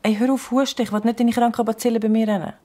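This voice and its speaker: A woman's voice: on the high side, fairly even intonation, quick pace, dark tone, natural voice. A young woman playing an irritable, bossy older sister in a children's radio play, with a Swiss accent. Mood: annoyed.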